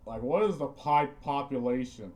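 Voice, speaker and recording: A man's voice: mid-range voice, lively intonation, a little mellow. A man giving rambling live reaction commentary, stopping and restarting as he talks. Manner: rambling, halting, repeats himself. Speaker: streamer commenting on a video.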